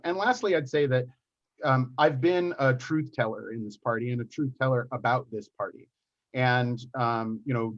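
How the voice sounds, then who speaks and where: A man's voice: medium pitch, muffled recording. A man speaking as a party officer candidate at a forum, earnest and candid, with hesitant restarts.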